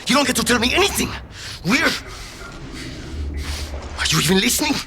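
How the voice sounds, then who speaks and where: A man's voice: extremely high pitch, very animated, a little fast, slight background noise, full character voice. An actor playing a frightened, exasperated everyman pilot in a video game, agitated and sarcastic. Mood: angry, despairing. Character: frantic, exasperated everyman pilot, fearful and bitter. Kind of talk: cinematic video game acting. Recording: loud music behind the voice.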